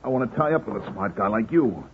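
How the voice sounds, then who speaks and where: An actor playing a cool undercover agent who talks politely and slickly in an old-time radio crime drama. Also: male voice, fairly low pitch, expressive, fast talker, gravelly. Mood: deferential, sly. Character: quick, cool undercover male agent posing as helper. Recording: dull, faintly noisy old radio recording.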